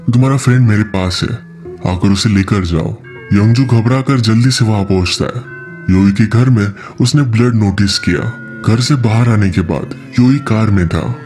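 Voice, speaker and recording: A man with a fairly low voice narrates a film recap over faint background music. He tells the story as a scripted explanation, in simple, plain sentences sprinkled with English loanwords. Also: darkish tone. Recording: music under the voice.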